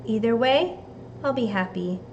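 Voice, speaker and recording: Female teacher for a kids' read-aloud, higher-pitched, unhurried, simple phrasing, slight background noise. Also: expressive, lo-fi sound. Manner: deliberate, simple phrasing addressed to young children. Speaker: teacher reading a storybook aloud to children. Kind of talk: expressive storybook read-aloud and simple instruction for kids.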